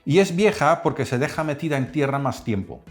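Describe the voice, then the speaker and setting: Male cooking host, animated delivery over faint music. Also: on the high side, brisk. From Netherlands. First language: Dutch.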